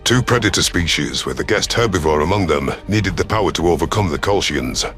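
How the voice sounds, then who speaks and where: Deep, gruff reptilian predator commander, acted by a man. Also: expressive, fairly quick, fairly bright tone, soft background music. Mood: grave narration. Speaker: narrator in character. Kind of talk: first-person scripted narration.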